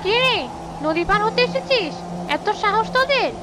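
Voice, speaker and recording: A woman voicing a vengeful female river ghost in an animated story, taunting and confrontational, with music behind her. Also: very high voice, normal speed, pitched above the performer's own voice. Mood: menacing.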